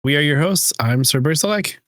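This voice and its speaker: A man co-hosting a podcast in casual chat, with quick, teasing banter. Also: on the high side, expressive, fairly bright tone, dry recording, clean studio sound. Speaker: podcast co-host.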